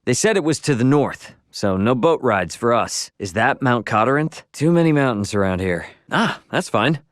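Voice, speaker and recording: A man's voice: medium pitch, expressive, moderate pace, no room sound, clean studio sound, lightly acted. A man playing a brash, casual young video game adventurer, slangy and wry, impatient but good-hearted. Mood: casual, determined. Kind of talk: video game character voice lines.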